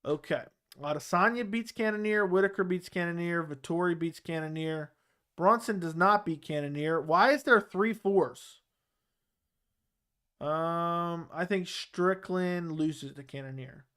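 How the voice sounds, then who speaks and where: Smooth-voiced Baltimore man chatting casually. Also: on the high side, measured pace, a bit bright. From Baltimore area. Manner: loose, rambling, with fillers and restarts. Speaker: host of a live chat show. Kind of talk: casual live chat reading viewer comments.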